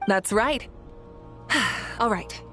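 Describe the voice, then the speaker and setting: A woman playing a composed, noble warrior leader in a video game, measured and assured. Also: medium pitch, lively intonation, fairly bright tone. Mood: resolute. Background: background music.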